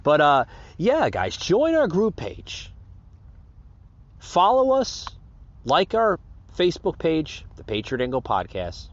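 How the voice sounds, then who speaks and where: Animated American man, dull lo-fi podcast sound. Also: fairly high pitch, slow pace. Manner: rambling and repetitive, addressing listeners as guys. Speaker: podcast host. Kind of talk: casual unscripted podcast monologue, plugging pages and thanking listeners.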